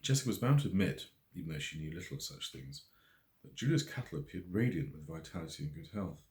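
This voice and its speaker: A man's voice: low-pitched, animated, fast, darkish tone. A man reading scripted narration from his own novel as an audiobook. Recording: echoey room.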